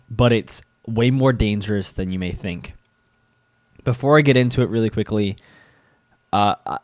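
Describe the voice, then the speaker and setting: A young man ranting to camera, sick and a bit congested, halting and restarting as he gets agitated. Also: on the low side, a little mellow, no echo. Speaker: vlogger. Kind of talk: ranting commentary.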